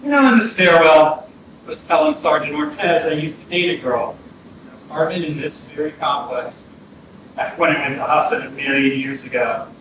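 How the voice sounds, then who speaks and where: A man's voice: on the high side, normal speed, lightly acted. A man playing a state police detective, folksy and conversational as he questions someone in a staged reading. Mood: official, chatty. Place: arts centre theatre. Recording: echoey theatre, distant mic, room noise.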